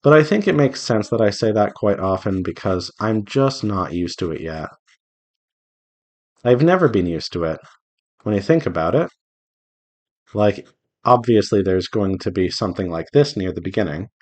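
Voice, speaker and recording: A man's voice: fairly low pitch, animated, normal speed, long pauses, darkish tone. A man chatting casually to viewers as he works, thinking aloud in a rambling, self-reassuring way. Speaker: visual novel developer hosting a draw-along devlog. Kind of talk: casual solo chat while drawing.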